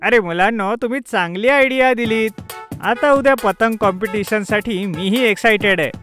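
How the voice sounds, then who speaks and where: A man's voice: high voice, moderate pace, fairly bright tone, soft background music. Man voicing a boastful, jovial cartoon uncle. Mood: delighted, encouraging. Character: boastful, jovial middle-aged men, friendly rivals. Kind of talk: children's cartoon story dub.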